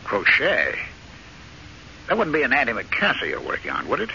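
An actor playing a frustrated, restless American husband in an old-time radio drama, sardonic, emphatic and easily riled. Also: male voice, medium pitch, huge pitch swings, moderate pace, creaky, bright, forceful tone, heavily acted. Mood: sarcastic. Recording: muffled, noisy old radio recording.

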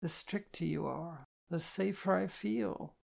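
Storybook reader doing a young drunk woman, tearful, high and soft, slow stumbling words, clingy. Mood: pleading, drowsy. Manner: halting, slow and breathy, stumbling over words. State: drunk and weepy.

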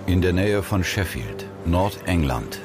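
A man's voice: sits low, animated, a little slow. A man delivering scripted narration for a horror audio drama. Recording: loud music over the voice.

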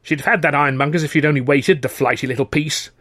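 A man's voice: a little high, fast talker, a bit bright, pitched above the performer's own voice. Man putting on a curt, grumpy housewife. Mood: bitter. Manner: curt, disapproving. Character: grumpy, suspicious middle-aged housewife.

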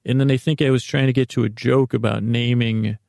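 A middle-aged man hosting a podcast with a silly, halting monologue that meanders and repeats itself as it drifts into tangents. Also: medium pitch, steady tone, vocal fry, darkish tone, no room sound, studio quality. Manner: silly, whimsical. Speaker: podcast host. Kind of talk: rambling comedic monologue.

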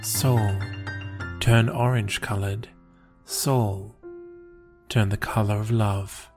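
A man reciting poetry for a podcast in a measured, deliberate manner. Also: lowish voice, steady tone. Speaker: poetry podcast host and reader. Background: music.

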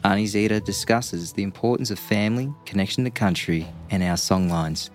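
A man's voice: fairly low pitch, a little fast, faint music behind. A man from Australia giving a formal, scripted acknowledgement of country and introducing the episode.

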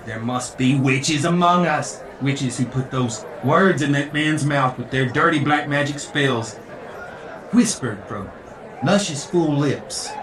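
An actor playing a pompous, lecherous revival preacher, delivering a theatrical sermon. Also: male voice, mid-range voice, slow, close to an everyday voice. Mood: suspicious, fervent. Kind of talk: theatrical fire-and-brimstone sermon. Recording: roomy, distant, noisy with congregation crowd.

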